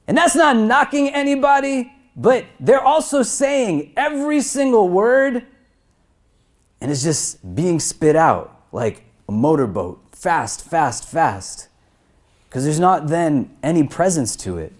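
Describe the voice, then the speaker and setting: High, animated male preacher. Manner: swells in pitch and volume on lists and rhetorical questions, then drops low. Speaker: imam. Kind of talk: Friday sermon. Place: crowded prayer hall.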